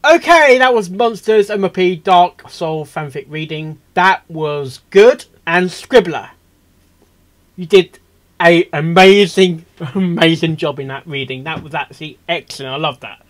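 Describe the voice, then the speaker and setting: A man hosting a reaction video, rambling casually and excitably, stammering and repeating himself as he goes. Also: on the high side, unhurried. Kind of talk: casual off-the-cuff reaction commentary.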